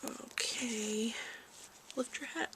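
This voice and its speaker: A woman doing a soft-spoken ASMR roleplay as a calm research doctor, gentle, reassuring and a little hesitant, in a home recording. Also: a little high, very slow, poor audio. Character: calm corporate research doctor giving a checkup. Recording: faint background noise, home ASMR recording.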